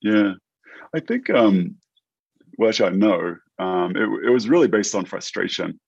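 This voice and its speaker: Halting New Zealander with an American accent. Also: male voice, lowish voice, expressive, gravelly, a little mellow, breathy edge, no echo, dull audio. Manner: halting, many restarts and fillers. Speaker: guest, tech entrepreneur. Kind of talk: casual interview chat.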